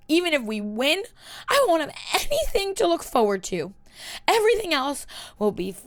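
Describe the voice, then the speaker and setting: A woman voicing a distraught, drunk young witch in a story reading, with shrill, emotional outbursts. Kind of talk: reading a fan story aloud.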